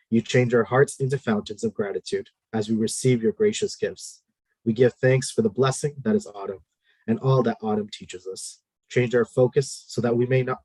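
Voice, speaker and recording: A man chairing a formal board committee meeting, leading a prayer and calling items in a measured, procedural way with frequent fillers. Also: medium pitch, darkish tone, husky, no echo, low-quality recording.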